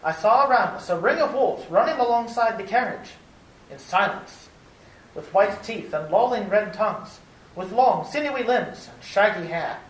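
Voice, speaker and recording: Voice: mid-range voice, expressive, normal speed, lightly acted. An actor playing an earnest, frightened English traveller held prisoner, telling the tale dramatically in an old-time radio drama. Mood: tense, awed. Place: live performance at a public library. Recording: roomy, distant, noisy live recording.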